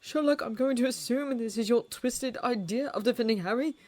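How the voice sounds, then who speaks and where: A woman's voice: a little high, moderate pace, mellow, no echo, pitched above the performer's own voice, lightly acted. Woman doing a shaken, tearful woman. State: tearful, unsteady. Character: shaken woman holding back tears.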